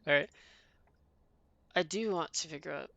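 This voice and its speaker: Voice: mid-range voice, normal speed, gravelly, fairly bright tone, dry recording. A streamer chatting casually and thinking aloud while setting up a live stream.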